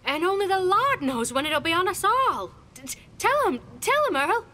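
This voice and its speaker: A woman plays a frightened country villager in a horror audio drama, stammering and tripping over her words. Her voice sits high and swings wildly up and down. It has a bright, tense edge. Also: very animated, normal speed, full character voice. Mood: panicked. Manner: breathless stammering panic. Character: terrified rural villager woman.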